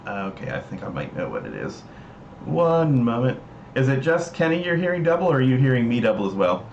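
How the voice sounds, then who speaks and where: A man hosting a livestream, explaining things casually in a halting, hesitant way. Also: mid-range voice, big pitch swings, creaky, darkish tone. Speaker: livestream host. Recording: roomy echo, dull mic, noisy background.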